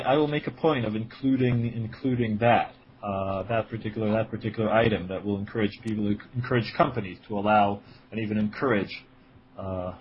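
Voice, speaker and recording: A man in his forties from Israel, answering interview questions with many fillers and restarts, and a slight cold. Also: lowish voice. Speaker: guest, writer and editor. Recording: dull lo-fi sound with faint noise.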